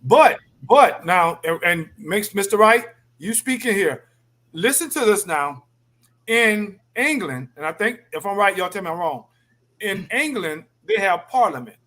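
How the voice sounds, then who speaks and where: A man moderating a panel discussion, addressing the guests directly in an animated way. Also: sits high, unhurried.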